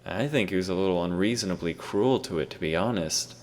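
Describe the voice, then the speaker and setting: A man voicing a calm, condescending suited scientist who speaks gently. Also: deep voice, a little fast, close to an everyday voice. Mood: smug calm. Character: calm, smug male scientist in a suit. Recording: reverberant room with faint music.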